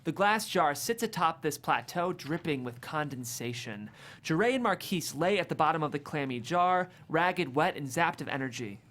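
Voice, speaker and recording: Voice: mid-range voice, animated, normal speed, fairly bright tone. A narrator reading comedic screenplay stage directions aloud at a table read in a vivid, amused way.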